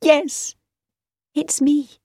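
A woman voicing a quick, playful young woman, with a lively delivery. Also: medium pitch, no room sound, studio quality, voice pushed up, lightly acted.

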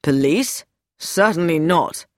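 A woman's voice: rumbling low, takes it slowly, no echo, clean studio sound, voice pushed down. A woman voicing a curt, authoritative older husband in an audiobook, clipped and dismissive. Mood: irritated.

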